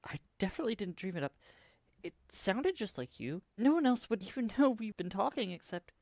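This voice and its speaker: A man's voice: high voice, very animated, a little fast, gravelly, a little mellow, no room sound, studio quality, exaggerated voice. A man playing an anxious, skeptical and worried friend in an audio drama, hesitant and stammering as he talks. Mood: nervous, confused.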